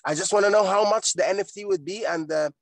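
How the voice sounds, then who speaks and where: A man from Egypt joining a video call from his car while driving, asking his question eagerly and a little rushed. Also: sits high, no echo. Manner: eager and excited, a bit rushed.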